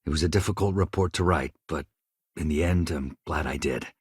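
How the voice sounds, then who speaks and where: A man's voice: extremely low pitch, fairly quick, slightly rough, exaggerated voice. A man voicing an earnest, weary chief engineer in a video game, speaking in a reflective, conversational way. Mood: wistful. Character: earnest, weary male chief engineer, haunted survivor of a disaster. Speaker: starship crew engineer. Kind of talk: video game character dialogue.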